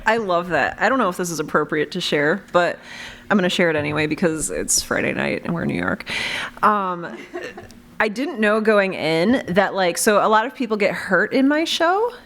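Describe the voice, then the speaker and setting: A woman's voice: lowish voice, sing-song, normal speed, fairly bright tone. A woman moderating a live convention panel in a casual, chatty style. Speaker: panel moderator, audio drama creator.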